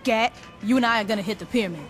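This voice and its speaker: A hard-edged female gang boss, acted by a woman, giving orders in a fairly high voice. She is blunt, profane and vengeful, a video game crime leader with music playing behind her. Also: rapid-fire, lightly acted. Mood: commanding. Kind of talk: video game cutscene acting.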